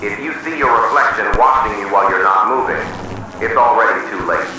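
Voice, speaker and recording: Distant man, mid-pitched voice, solemn official emergency broadcast, measured delivery, strong echo. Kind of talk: scripted analog horror emergency announcement. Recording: distant, heavily echoing, noisy emergency broadcast.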